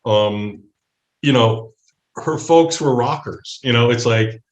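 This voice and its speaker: A middle-aged man chatting casually as an interview guest, halting and often restarting his thoughts. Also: mid-range voice, muffled recording. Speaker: interview guest, theatre creator. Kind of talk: casual conversation. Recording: echoey room.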